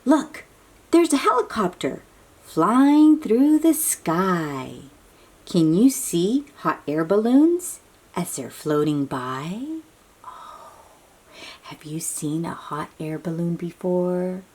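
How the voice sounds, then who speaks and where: A woman reading a picture book aloud to young children in an animated, breathy, questioning way, drawing out her words. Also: on the low side. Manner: animated, drawn-out, questioning delivery aimed at little children. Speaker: children's read-aloud host.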